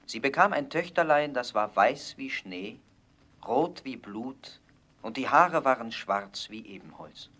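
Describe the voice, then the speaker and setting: Casting: male storybook narrator, mid pitch, calm and measured. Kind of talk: fairy-tale audio play narration.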